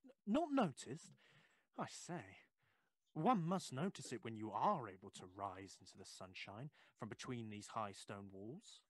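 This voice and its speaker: A male actor playing a courteous, inquisitive Victorian gentleman in an audio drama, hesitant and effusive with old-fashioned phrasing. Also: medium pitch, lively intonation, a little slow, no echo. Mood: polite, uneasy.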